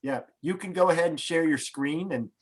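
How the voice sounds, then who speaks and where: A man hosting, talking casually and haltingly over a video call, coaching a guest before a show. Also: on the high side, breathy edge. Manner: hesitant, restarts, coaching tone. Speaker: host.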